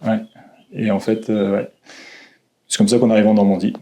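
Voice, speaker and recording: A man's voice: fairly low pitch, level tone, moderate pace, mellow. A man in his thirties, born in Canada, telling his life story as a podcast guest, hesitant and full of fillers. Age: 30s. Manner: hesitant, many fillers and restarts. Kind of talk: casual conversation. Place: old château room.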